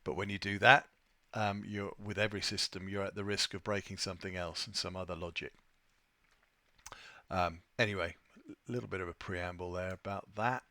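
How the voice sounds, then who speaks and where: Low-pitched man presenting, bright dry sound. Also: fairly even intonation, normal speed, no room sound. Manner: hesitant, thinking aloud. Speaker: software tutorial presenter. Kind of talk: unscripted screen-share walkthrough.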